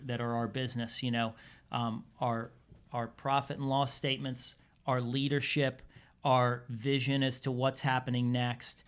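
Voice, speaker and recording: A male podcast host at an average pitch, talking his way loosely through a guest introduction. He circles back, restarts, and leans on "you know" as he builds up enthusiasm. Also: a bit bright. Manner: rambling, filler-heavy, enthusiastic.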